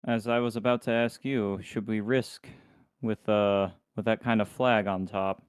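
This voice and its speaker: A man playing a tabletop game, speaking in measured, formal phrasing as his character. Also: fairly low pitch, fairly even intonation, clear, resonant tone, no room sound, studio quality. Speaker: player.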